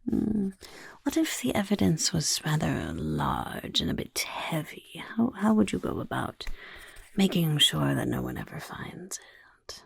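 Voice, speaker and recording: A woman voicing a scheming, self-important goblin boss in a soft-spoken ASMR roleplay, raspy and half-whispered, muttering like an interviewer. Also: low-pitched, huge pitch swings, measured pace, full character voice. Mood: probing, sly. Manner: speaks of self in third person, muttering, chaotic.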